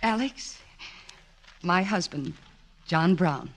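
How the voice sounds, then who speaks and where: A woman's voice: lowish voice, animated, slow, full character voice. An actress playing an earnest, devoted woman psychoanalyst in a classic American radio drama, with an insistent, clinical manner. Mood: warm, eager. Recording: restored old radio broadcast, orchestral music.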